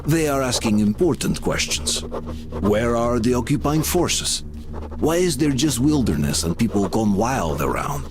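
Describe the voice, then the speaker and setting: A man acts out a thoughtful survivor looking back on a long journey. His voice sits at an ordinary male pitch, in measured scripted game narration. Music plays clearly under him. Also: lively intonation, close to an everyday voice. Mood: somber, reflective.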